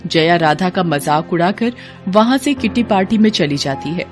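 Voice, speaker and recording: A woman's voice: fairly low pitch, lively intonation, normal speed, faint music behind. A woman working as narrator, reading a scripted Hindi moral story.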